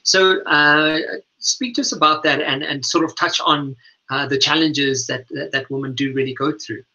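A man hosting a radio interview, speaking in a halting, hesitant way over a dull, lo-fi recording. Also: a little high, steady tone, pushed and bright. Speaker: radio show host and leadership coach.

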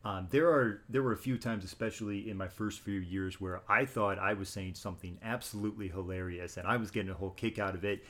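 A teacher speaking in an interview, measured and thoughtful, often restarting his sentences. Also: on the low side, steady tone, fairly bright tone. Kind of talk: interview conversation.

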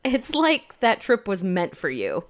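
A woman's voice: medium pitch, huge pitch swings, a little slow. A woman co-hosting a podcast in a casual chat, frank and sassy, saying "like" a lot. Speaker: podcast co-host.

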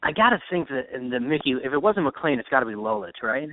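A man's voice: medium pitch, sing-song, fast talker, no room sound. A man chatting casually about sports as a podcast guest, a radio announcer by trade. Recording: thin phone-like line, dry.

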